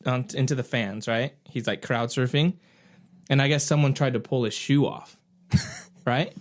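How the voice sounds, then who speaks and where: A man's voice: mid-range voice, a little slow. A man co-hosting a podcast, casually telling rambling anecdotes and quoting people as he goes. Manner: rambling anecdotes, acting out quotes. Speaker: podcast co-host. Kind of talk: casual chat.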